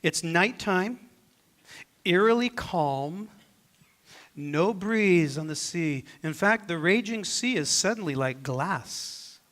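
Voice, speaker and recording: High-voiced man slowly telling a dramatic Bible story. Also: animated, fairly bright tone. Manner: theatrical, suspenseful, with deliberate pauses. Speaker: preacher. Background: music and sound effects.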